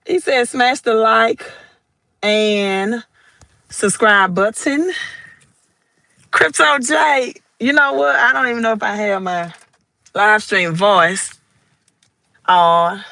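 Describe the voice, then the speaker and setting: A woman with a Southern US accent chats unhurriedly into her phone on a livestream. Her voice is bright and dry, with no room echo, and she greets viewers by name and reads their comments aloud. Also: medium pitch, steady tone, slow pace, pauses often. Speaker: crypto livestream host. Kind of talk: casual livestream chat. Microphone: phone held vertically.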